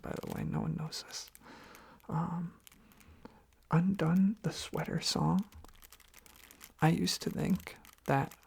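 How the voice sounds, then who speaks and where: An ASMR host rambling softly and sleepily in a whisper, often trailing off into repeated phrases. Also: mid-range voice, creaky, breathy edge.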